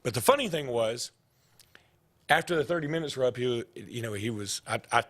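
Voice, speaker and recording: A middle-aged man, hosting a sports talk show and rambling through stories in casual chat. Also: brisk. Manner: rambling storytelling, jumps in pitch when animated.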